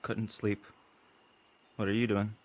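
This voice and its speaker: A man's voice: lowish voice, level tone, slow pace. A male actor playing a curious, frightened son in a post-apocalyptic audio drama. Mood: curious, hesitant.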